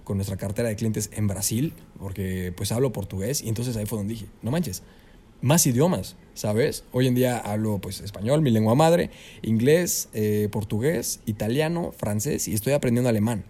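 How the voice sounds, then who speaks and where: A young man with a Mexican Spanish accent, a student guest telling his story in a casual podcast interview, animated and peppered with "you know?". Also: on the low side, moderate pace, slightly rough. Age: young adult. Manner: animated storyteller, leans on "¿sabes?".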